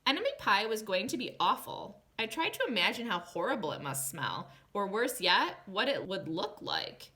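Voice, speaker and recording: Female schoolteacher for picture-book read-aloud. Bright voice, lively pitch movement, talks straight to the kids. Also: normal speed, few pauses. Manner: animated, addressing young children directly, posing questions. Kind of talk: expressive children's story read-aloud.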